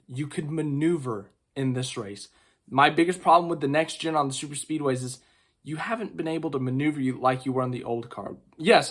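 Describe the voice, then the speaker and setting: A man with strep throat giving a casual, chatty race recap, excitable and full of fillers. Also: medium pitch. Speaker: racing vlogger.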